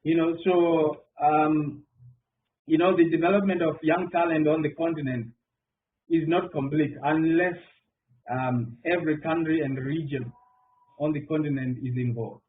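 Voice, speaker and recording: A man's voice: a little high, fairly even intonation, measured pace, distant mic. Man on a tinny video call. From Zimbabwe. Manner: hesitant, many fillers and restarts. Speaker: mathematics professor, panelist. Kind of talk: round table answer. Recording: phone-like online call audio with faint noise.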